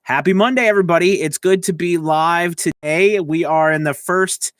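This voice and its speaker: A man's voice: on the high side, normal speed, fairly bright tone, no room sound, clean studio sound. A man hosting a casual live tutorial as a coach, explaining conversationally and checking in with the listener as he goes. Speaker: voice-over coach and show host.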